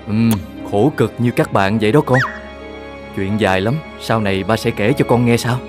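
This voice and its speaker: A man's voice: fairly low pitch, moderate pace, over music, natural voice. A man voicing a patient, earnest animal father who explains things in a fatherly cartoon style. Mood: reflective. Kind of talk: animated children's cartoon dialogue.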